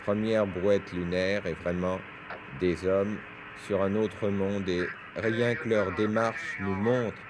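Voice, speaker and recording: A man's voice: sits low, little pitch movement, slow pace. A man giving explanatory, didactic science commentary over footage on a 1970s television news broadcast. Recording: noisy 1971 French TV newsreel.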